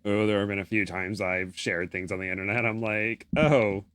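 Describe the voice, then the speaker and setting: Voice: low-pitched, fairly even intonation, normal speed, a bit bright, no echo. A podcast co-host bantering casually, with playful joking asides. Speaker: podcast co-host reacting to a story.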